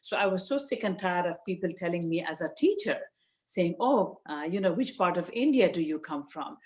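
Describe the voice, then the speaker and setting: An older woman from Nepal with a Nepali accent, giving informal, earnest advice as a guest speaker, with frequent hesitant pauses. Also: on the low side, expressive, darkish tone. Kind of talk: informal advice talk to parents. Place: virtual session over video call.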